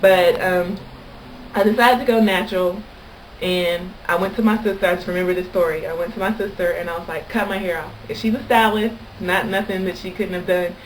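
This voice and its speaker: A woman's voice: lowish voice, fairly even intonation, brisk. Chatty American woman vlogger, noisy recording. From Kentucky, United States. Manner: chatty, anecdotal. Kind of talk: casual chat to camera.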